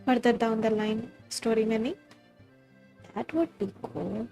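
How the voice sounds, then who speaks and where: A woman's voice: on the high side, fairly quick, vocal fry, mellow, faint music behind. A woman with an Indian accent giving casual live gaming commentary as a streamer, switching between Hindi and English. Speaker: game streamer.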